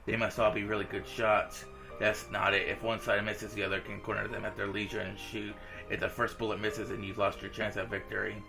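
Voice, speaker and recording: Man, game streamer, reading story dialogue aloud, flat intonation, creaky low voice, music behind. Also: fairly quick. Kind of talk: reading visual novel dialogue aloud with casual reactions. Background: visual novel game music.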